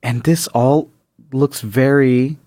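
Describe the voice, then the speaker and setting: A man's voice: mid-range voice, fairly even intonation, takes it slowly, warm tone, dry recording, studio quality. A man co-hosting a podcast, casually discussing and summarizing stories in a conversational way. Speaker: podcast co-host.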